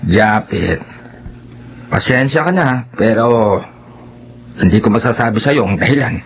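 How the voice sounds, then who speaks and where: A man's voice: mid-range voice, expressive, measured pace, slightly rough. A man playing an earnest, determined young working man in a radio drama, polite but secretive. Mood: guarded. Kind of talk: Filipino radio drama acting.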